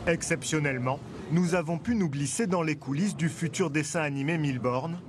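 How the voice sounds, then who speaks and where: A man narrating a television news report in a measured, scripted voice-over. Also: on the high side, a crowd in the background.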